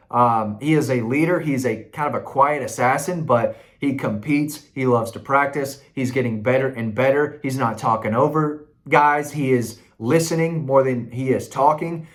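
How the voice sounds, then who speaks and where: A man hosting a sports recruiting update, talking casually and in slangy, opinionated terms to viewers. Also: medium pitch.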